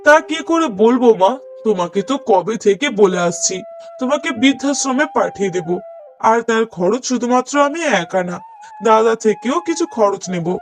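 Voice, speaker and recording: A man's voice: very high voice, expressive, moderate pace, soft background music. A man voicing a dismissive younger son and henpecked husband in a cartoon story, reproachful and full of excuses. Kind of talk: cartoon moral story voice acting.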